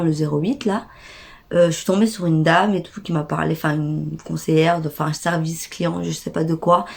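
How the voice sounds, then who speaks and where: A woman's voice: lowish voice, creaky, darkish tone. A young woman with a stuffy nose from a cold tells an indignant, slangy story straight to her viewers. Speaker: vlogger. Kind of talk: casual storytime rant.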